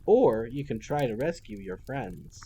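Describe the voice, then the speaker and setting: A man's voice: medium pitch, expressive, a little slow, warm tone, a little hiss or hum, lightly acted. A man voicing a snide, childlike female villain who mocks and gloats in a theatrical sing-song. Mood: mocking.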